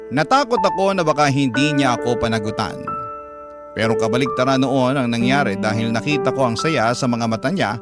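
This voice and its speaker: A man's voice: medium pitch, natural voice. A man with a Filipino accent reading a young wife's first-person life story as dramatized letter narration. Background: prominent piano music.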